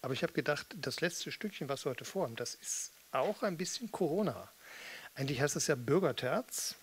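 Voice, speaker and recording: A man's voice: a little high, animated, brisk, breathy edge. A man in his fifties chatting casually between songs in a dry, musing, rambling way, close to a stage microphone. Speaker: spoken-word performer and frontman. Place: small theatre with red seats, indoors. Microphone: studio mic with pop filter on stand, close.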